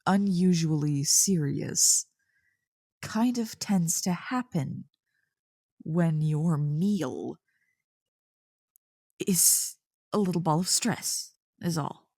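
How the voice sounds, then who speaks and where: Woman voicing a slow-talking, snarky succubus. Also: sits low, expressive, warm tone, husky, dry recording, studio quality, full character voice. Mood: grudging concern. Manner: teasing, exasperated, sighing, grudgingly soothing. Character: snarky tsundere young female succubus, secretly caring. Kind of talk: ASMR roleplay acting.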